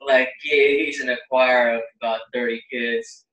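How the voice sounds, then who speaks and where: A man's voice: mid-range voice, steady tone, normal speed, bright, forceful tone, far from the mic, faint music behind. A young man chatting casually as a host, recorded in a roomy space away from the mic. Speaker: host and choir bass singer. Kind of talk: casual chat.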